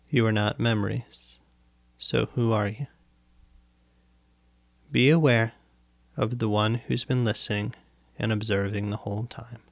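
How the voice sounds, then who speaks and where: A man guiding a meditation as a teacher, speaking in deliberate, instructive phrases with pauses and repetition, close to the microphone. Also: on the low side, vocal fry, dry recording. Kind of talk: guided meditation, spoken instruction. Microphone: close microphone, dry sound.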